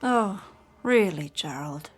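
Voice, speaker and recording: An actress in a radio drama playing a polite, restrained wife of an overbearing husband, with a guarded manner. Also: female voice, medium pitch, animated, slow pace, breathy edge, soft background music. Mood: mildly reproachful.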